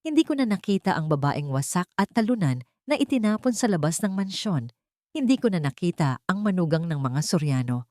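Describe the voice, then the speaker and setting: A woman with a Filipino accent narrating a scripted first-person drama in measured, deliberate phrases. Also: on the low side, a little mellow, no echo, clean studio sound. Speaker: story narrator.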